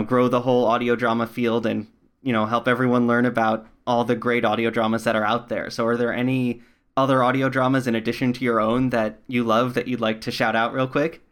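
A man with a mid-range voice hosts a relaxed, conversational podcast interview. He comes across as polite and encouraging toward his guest. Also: mid-range voice. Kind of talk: casual interview hosting.

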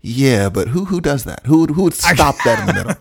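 A man with a fairly high voice chats as a podcast co-host. He is animated and slangy, telling stories in a joking way and slipping into acted-out quotes. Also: brisk, vocal fry, background noise. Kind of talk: casual chat, storytelling.

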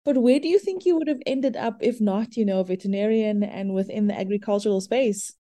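A woman's voice: medium pitch, brisk, warm tone, no echo, clean studio sound. A woman hosting an interview, asking questions in an upbeat, encouraging way. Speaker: interview host. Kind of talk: friendly interviewing.

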